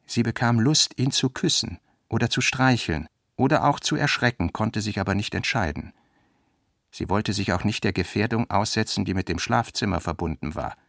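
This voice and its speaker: A man narrates literary prose for a radio play in a low voice with a gravelly edge. His reading is measured and carefully articulated, and it sounds close and dry. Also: a bit bright, no echo.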